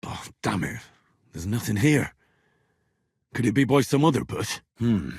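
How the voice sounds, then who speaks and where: A young medieval video game hero, with a slightly husky voice recorded close and dry. He is earnest and wry, mutters his thoughts to himself and curses readily, with pitch that moves widely. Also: expressive, measured pace, no echo, full character voice. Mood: frustrated, cursing. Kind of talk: acted video game voice lines.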